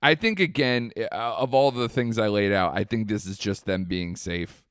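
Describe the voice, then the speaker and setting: A man's voice: lowish voice, moderate pace, clear, resonant tone, no echo, studio quality. A man co-hosting a podcast, talking loosely in casual chat, swearing now and then and trailing off. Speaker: podcast co-host.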